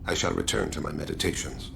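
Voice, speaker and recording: A man voicing a terse, solemn, reserved alien in a video game, with a deep croaking voice. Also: steady tone, quick pace, background noise, heavily acted. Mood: reserved, calm. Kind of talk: video game dramatic dialogue.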